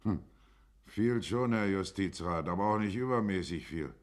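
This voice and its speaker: Casting: small-town neighbour, radio play, deep register, measured, respectful delivery. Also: male voice, animated. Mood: measured, deferential. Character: plain-spoken small-town neighbour testifying to a magistrate. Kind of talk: German radio drama acting.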